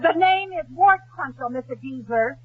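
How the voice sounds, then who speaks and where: An actress playing a coy young woman suing over a mud splash, in a 1940s radio comedy, speaking slowly and sweetly. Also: high-pitched, expressive. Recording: muffled old radio broadcast with loud hiss.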